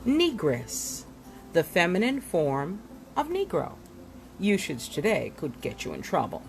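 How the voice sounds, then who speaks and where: Animated, low-voiced woman reading aloud. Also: measured pace, creaky, slight background noise. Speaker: reader of a book. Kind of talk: expressive read-aloud of a glossary.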